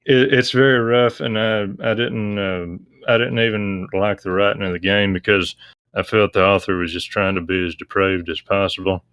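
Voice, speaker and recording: A man with a very low, clear voice talks as a guest in a casual interview. His tone is bright and crisp with almost no room sound, and he explains things in a hesitant, meandering way. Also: steady tone, normal speed, dry recording. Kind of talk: casual interview chat about visual novels.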